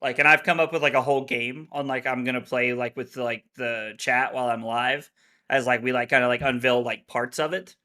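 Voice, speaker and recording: Man, bright edgy tone, rambling, lots of filler words, casual podcast talk. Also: mid-range voice, steady tone, fairly quick. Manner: rambling, heavy use of "like". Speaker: podcast co-host. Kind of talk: casual chat.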